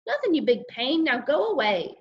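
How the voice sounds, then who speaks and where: A woman voicing an excitable, mischievous schoolboy who tells his own misadventures in a children's audiobook. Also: medium pitch, normal speed, husky, highs cut off. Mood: annoyed. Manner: animated, childlike exclamations and grumbling. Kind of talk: first-person children's audiobook narration.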